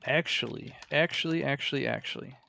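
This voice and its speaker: A man's voice: mid-range voice, a little fast, creaky, pushed and bright, no room sound. A man chatting casually as a player in a tabletop game, thinking aloud and repeating his words as he works out a move.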